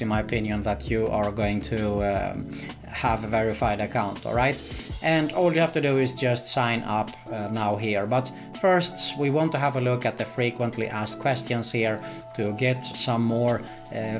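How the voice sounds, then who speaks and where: A man's voice: medium pitch, normal speed. Man narrating a tutorial over music. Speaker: video host. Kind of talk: scripted-sounding online tutorial narration. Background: background music.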